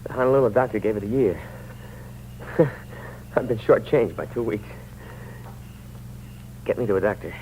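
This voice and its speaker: A man's voice: on the low side, normal speed, pauses often, a little mellow, close to an everyday voice. An actor playing a mocking, wealthy man with a weak heart who needles his companion with dry wit. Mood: dying, mocking. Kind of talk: 1950s radio drama acting. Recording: dull, noisy old radio recording.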